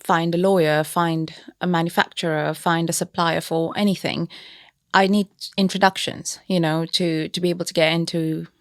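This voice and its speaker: A woman's voice: fairly low pitch, level tone, moderate pace, no echo, clean studio sound. A young woman from Kenya, a business founder and podcast guest, answering in a thoughtful, casual way with many fillers and restarts. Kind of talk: casual interview chat.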